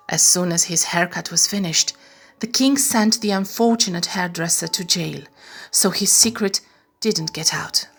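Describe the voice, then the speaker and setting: A woman narrating a children's bedtime story in a measured, expressive storytelling style. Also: mid-range voice.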